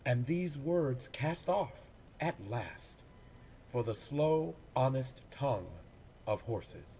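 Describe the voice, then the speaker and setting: A man, an actor, reading poems aloud in a dramatic, deliberate way with pauses between phrases. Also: medium pitch, dark tone, a little hiss or hum, rough recording. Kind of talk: dramatic poetry recitation.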